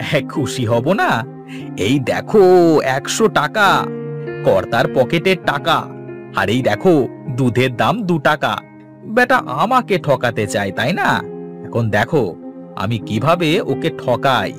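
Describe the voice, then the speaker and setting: A man's voice: mid-range voice, sing-song, unhurried, pauses often, music in the background. A man playing a stubborn, shrewd poor village milk farmer in a Bengali cartoon. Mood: pleased. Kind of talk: Bengali moral cartoon voice acting.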